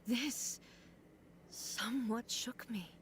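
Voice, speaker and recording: An actress playing a regal female deity and ruler in a video game, speaking in a measured, formal, contemplative way. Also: fairly high pitch, fairly bright tone, a little hiss or hum. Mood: reflective, solemn. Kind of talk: video game character acting.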